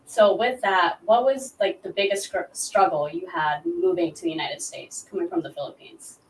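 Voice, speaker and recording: A woman interviewing a guest, affirming his answers and asking measured questions. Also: on the low side, far from the mic, a little hiss or hum. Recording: echoey room, voice away from mic.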